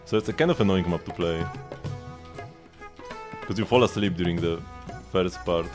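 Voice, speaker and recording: A man's voice: sits low, moderate pace. A man livestreaming a game, talking casually in a rambling, self-deprecating way over the gameplay. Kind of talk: casual live gameplay chat. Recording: game music behind the voice. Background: game music.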